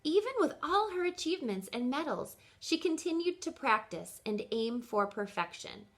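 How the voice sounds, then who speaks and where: A woman teacher reading aloud to children in an expressive way, speaking directly to her young listeners and posing questions to them. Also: high voice, moderate pace.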